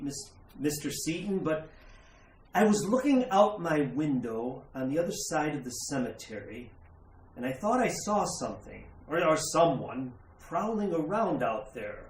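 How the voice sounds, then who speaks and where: A man's voice: mid-range voice, a little hiss or hum. A man playing a polite, puzzled older judge in retro radio drama style, hesitant, stammering and folksy. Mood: apologetic, hesitant. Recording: echoey, roomy recording.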